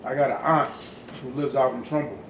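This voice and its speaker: Forceful man in an echoey room. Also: medium pitch, fairly even intonation, brisk, a loud crowd. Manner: forceful, insistent. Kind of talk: emphatic teaching talk. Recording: echoey room with background noise.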